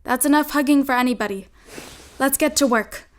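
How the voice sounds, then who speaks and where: A woman's voice: high-pitched, brisk, slight background noise, natural voice. A woman playing a commanding, coaxing older sister, a scheming girl from an old-fashioned household, in an audio drama. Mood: bossy, conspiratorial.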